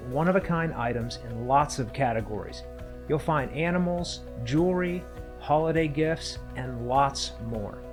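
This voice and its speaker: A man hosting an interview podcast, delivering intros and questions to his guest. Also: mid-range voice. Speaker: podcast host.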